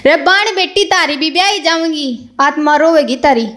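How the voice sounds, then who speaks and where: High-voiced young woman reciting poetry. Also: normal speed, fairly bright tone. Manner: rhythmic, repeats each couplet. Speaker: poet. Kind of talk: open mic poetry recitation. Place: small indoor stage. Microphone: stand mic.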